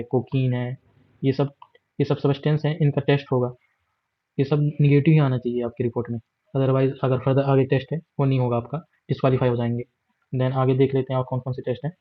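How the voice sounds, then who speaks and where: Fast, flat-voiced Indian man explaining. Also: medium pitch. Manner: steady, plain explaining with fillers and English words mixed in. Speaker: exam guide presenter. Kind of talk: screen walkthrough of a job notification. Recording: dull lo-fi voice recording.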